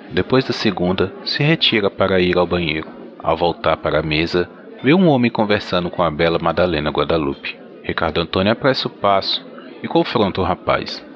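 A man announcing and narrating in a theatrical radio soap opera style as podcast host. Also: low-pitched. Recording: dull lo-fi audio with music.

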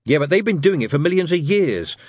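Storybook boy gang leader, played by a man: raised pitch, husky, lofty and insistent. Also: big pitch swings, brisk, no room sound, clean studio sound. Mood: lofty, confident. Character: bossy, know-it-all boy, imaginative gang leader.

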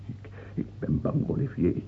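A man's voice: moderate pace, slight background noise, natural voice. An actor the playing an ailing, fearful and tyrannical king of France in a radio drama, volatile, moving between brooding murmurs and barked scorn. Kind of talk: theatrical radio drama acting. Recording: dull, lo-fi old radio recording.

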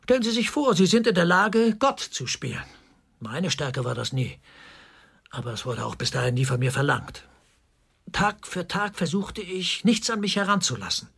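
A cornered army officer being interrogated in a radio crime play. His voice is very husky and gravelly, and it swings widely from strained indignation to troubled confession. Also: fairly high pitch, a bit bright, full character voice. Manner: agitated, swinging between indignant outbursts and subdued confession. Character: cornered male army officer under interrogation, defensive, tormented. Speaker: suspect, military governor. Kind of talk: German radio crime drama acting.

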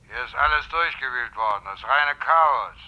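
An actor playing a dry, unruffled police inspector, laconic and sardonic through a telephone filter in a German radio crime drama. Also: male voice, mid-range voice, expressive, moderate pace. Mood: dry, grave. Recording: dull telephone sound, faint noise.

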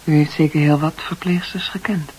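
An actress playing a shy, modest, bewildered nurse in a Dutch radio play, speaking in a breathy, hesitant, halting way. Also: female voice, low voice, steady tone, rough recording. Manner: hesitant, soft-spoken, halting.

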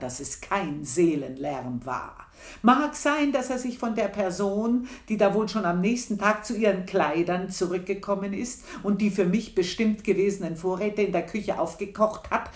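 An actress playing an aging, brooding housemaid in a radio play monologue, moving from loud outbursts to hushed whispers. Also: female voice, mid-range voice. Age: older.

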